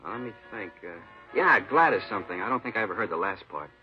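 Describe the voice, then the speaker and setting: An actor playing a chatty, disapproving bartender with slangy, grumbling talk in a 1950s radio drama. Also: male voice, fairly low pitch, expressive, quick pace, natural voice. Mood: grumbling, gossipy. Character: chatty, disapproving, gossipy male neighborhood bartender. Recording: muffled old radio, faint music behind.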